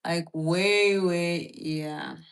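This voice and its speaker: Woman host on a casual live stream, slow and meandering, thinks out loud with a lot of "like"s. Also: fairly low pitch, steady tone. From Philippines. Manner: rambling, filler-heavy, thinking aloud while reading from a search. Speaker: livestream host.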